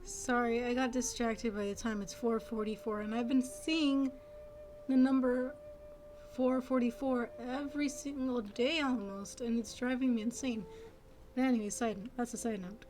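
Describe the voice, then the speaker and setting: A woman in her twenties giving casual gameplay commentary in a soft-spoken, reserved way. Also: fairly high pitch, steady tone, moderate pace. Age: 20s. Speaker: gaming video creator.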